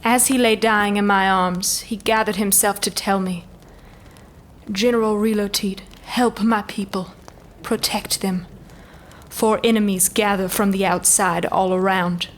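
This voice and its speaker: A woman's voice: mid-range voice, fairly even intonation, unhurried, slight background noise, natural voice. A woman playing a noble elven commander in a fantasy audio drama, speaking in formal, stately oratory. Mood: mournful, dramatic.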